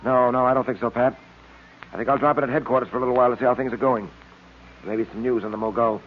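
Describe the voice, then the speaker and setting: Detective lead for 1940s-style radio serial, male actor: brisk, firm, guarded, quick delivery, tinny sound. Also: mid-range voice, steady tone, close to an everyday voice. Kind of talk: old-time radio serial acting. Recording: tinny, noisy vintage radio recording.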